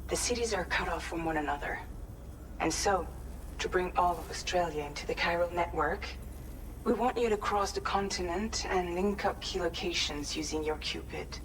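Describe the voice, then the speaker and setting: Woman playing a lowish-voiced female mission guide. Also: normal speed, slight background noise, natural voice, rough recording. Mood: businesslike. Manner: clear step-by-step briefing. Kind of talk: video game cutscene acting.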